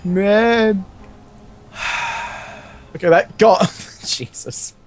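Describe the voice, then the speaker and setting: A man's voice: sits high, drawn-out words, faint music behind. A man reading fan fiction aloud in a casual, comic way, amused and often breaking into laughter.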